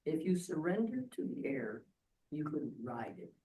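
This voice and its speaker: A man's voice: on the high side, very animated, moderate pace, dark tone. Distant older man in book discussion. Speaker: book club participant. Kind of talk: casual discussion, quoting from a book. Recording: distant from the microphone.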